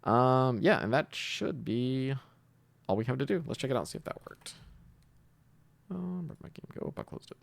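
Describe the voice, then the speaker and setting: A man hosting a casual coding tutorial, thinking aloud with hesitant pauses as he improvises. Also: medium pitch, sing-song, vocal fry. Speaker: tutorial host, programming instructor.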